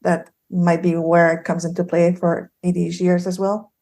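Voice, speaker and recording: A woman's voice: lowish voice, moderate pace. Chatty woman with a Québécois French accent. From Eastern Quebec. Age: 50s. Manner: animated storyteller, laughs often, many fillers and restarts. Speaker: guest, ADHD coach and sleep expert. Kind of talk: casual podcast interview.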